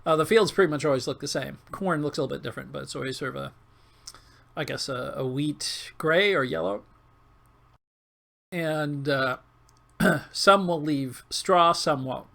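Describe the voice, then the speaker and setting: Hoarse, gravelly man, higher-pitched voice. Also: normal speed, long pauses, a bit bright. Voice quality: coarse, hoarse, squawky. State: hoarse, losing his voice. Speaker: gaming video host. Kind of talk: casual gameplay commentary.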